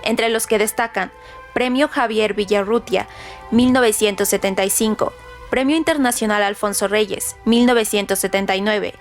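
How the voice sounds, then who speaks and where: Female presenter for literary radio segment: formal, deliberate read, middle register, soft music underneath. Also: medium pitch. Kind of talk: scripted narration, trivia about a novel. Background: background music.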